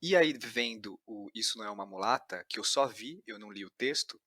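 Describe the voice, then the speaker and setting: A man's voice: on the low side, animated, brisk, bright, forceful tone, no room sound, studio quality. A man with a Brazilian accent hosting a conversational interview, reflecting aloud and rephrasing as he goes. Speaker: podcast host, interviewer.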